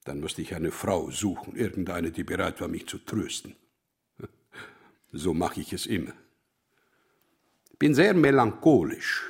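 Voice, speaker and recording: Worldly mathematician, low register, husky voice, wry pronouncements, boastful, melancholic, radio drama. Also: male voice, lively intonation, unhurried, long pauses, full character voice. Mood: wry, confiding. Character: worldly, self-assured, melancholic mathematician from Budapest. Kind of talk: German radio drama acting.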